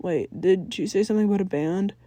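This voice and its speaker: Casting: woman playing goofy teen boy, incredulous, trips over words, soft mellow timbre, dry audiobook sound. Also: medium pitch, a little fast, dry recording, lightly acted. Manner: stammering, incredulous. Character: dopey, startled teenage boy, a bad liar.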